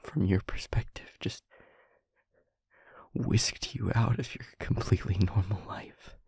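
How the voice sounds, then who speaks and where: A man playing a guilt-ridden demon lover in an audio roleplay, confessing tenderly and in anguish in a halting, breathy, husky hush. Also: fairly low pitch, no room sound, clean studio sound, full character voice. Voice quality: breathy, husky, often whispering. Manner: halting, hushed, dramatic pauses. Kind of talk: intimate audio roleplay monologue.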